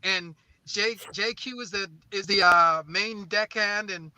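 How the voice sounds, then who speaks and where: A man with a slightly high, very sharp and forceful voice, speaking as a guest in an interview. He tells stories conversationally and earnestly, leaning on repeated phrases to motivate. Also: measured pace, no echo. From born in St. Croix, raised in New Orleans. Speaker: guest, recording artist and label co-founder.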